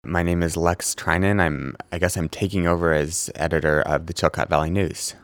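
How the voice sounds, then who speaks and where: Man, newspaper editor, very deep voice, relaxed and halting, dry sound. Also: no room sound. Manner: relaxed, halting with many fillers and restarts. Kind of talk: casual interview.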